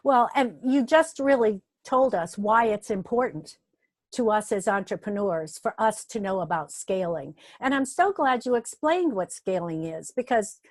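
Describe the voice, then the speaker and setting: A woman's voice: medium pitch, animated, a little slow, dry recording. Enthusiastic woman interviewer, dry sound. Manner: enthusiastic, affirming, repeats herself. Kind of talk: interview questions.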